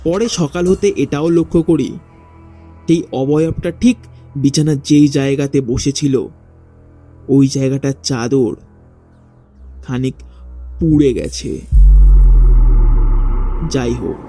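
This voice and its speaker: A man narrating a first-person ghost story in a dramatic, suspenseful way with deliberate pauses. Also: mid-range voice, warm tone. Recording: faint music behind the voice. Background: faint background music.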